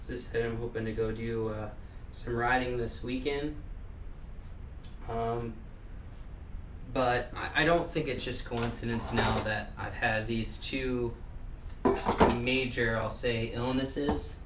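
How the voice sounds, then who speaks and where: A man talking casually in a personal vlog, rambling with many fillers and restarts, his voice still getting over hoarseness. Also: mid-range voice, steady tone, slow, fairly bright tone. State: recovering from lost voice. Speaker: vlogger. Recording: echoey room, mic at a distance, noisy.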